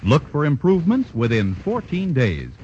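A male announcer giving an emphatic sales pitch in a 1940s radio broadcast. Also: huge pitch swings, moderate pace, a little mellow. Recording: lo-fi old radio broadcast with faint hiss.

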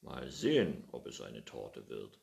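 A man's voice: rumbling low, very animated, a little slow, gravelly, voice pushed down, exaggerated voice. A man voicing an absent-minded, tidy old farmer who grumbles and scolds his cat in a storybook reading. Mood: grumbling. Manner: loud, grumbling, muttering hm.

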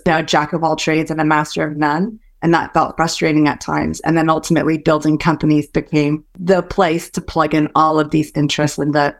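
Reflective woman with a low voice. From born in Malaysia, raised in the US. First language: Hokkien. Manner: thoughtful, searching, with small restarts. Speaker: company co-founder, physician scientist. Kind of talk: reflective interview answers.

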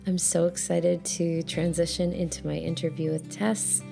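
A woman with a low, gravelly voice, scratchy today, hosting a podcast. She gushes warmly about her guest and leaves plenty of "um"s along the way. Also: flat delivery, moderate pace, soft background music. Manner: effusive, gushing, many fillers. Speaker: podcast host. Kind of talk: casual podcast chat.

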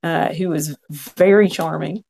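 Guest storyteller: man, 40s, gravelly fry, frank and earnest, chatty. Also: a little high, fairly even intonation, a little slow, a little mellow. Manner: frank, earnest, quotes own past thoughts. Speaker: podcast guest. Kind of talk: conversational storytelling.

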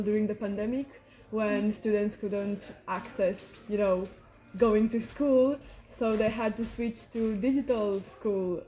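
A woman from Romania speaks in quick bursts broken up by fillers. Her voice is mellow and relaxed, with a middle pitch. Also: low-quality recording. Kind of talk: interview answers.

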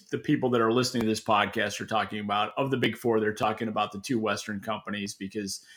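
Bright, forceful male podcast co-host. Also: mid-range voice. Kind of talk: casual market commentary.

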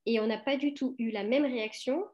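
Brief: middle-aged woman, slightly high voice, halting chat full of fillers. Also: a little mellow, highs cut off. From France. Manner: hesitant, many fillers and restarts. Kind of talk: casual conversation.

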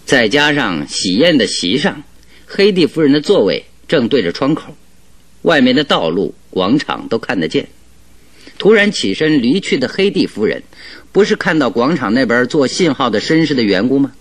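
A clever jovial detective in a radio play, bit husky, really swingy pitch, sure of himself. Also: male voice, big pitch swings, normal speed. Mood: confident, explanatory. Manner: chuckling, expansive, leisurely explaining his deductions. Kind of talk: Mandarin radio drama acting.

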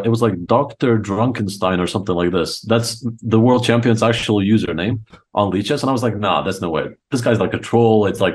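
Young adult male podcast guest from Croatia, lowish voice, informal and enthusiastic storyteller. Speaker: podcast guest, chess coach. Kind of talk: casual interview chat, storytelling.

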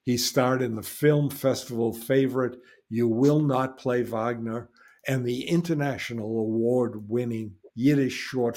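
A man's voice: medium pitch, a little mellow. A male podcast host reading a formal, scripted introduction of his guest, then asking questions. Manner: formal, announcer-like delivery.

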